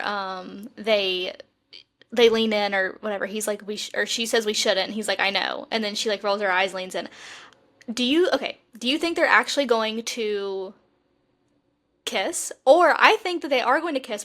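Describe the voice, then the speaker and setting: A woman co-hosting a podcast, chatting casually about TV shows in an animated, fannish way. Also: medium pitch. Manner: chatty, animated fan talk, frequent "like". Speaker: podcast co-host.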